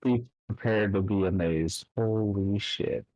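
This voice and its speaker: A man's voice: fairly low pitch, a little slow, a little mellow, no echo, lo-fi sound. A laid-back man chatting on a voice call, sarcastic and swearing freely. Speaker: multiplayer pilot guest. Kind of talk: casual chat over voice call.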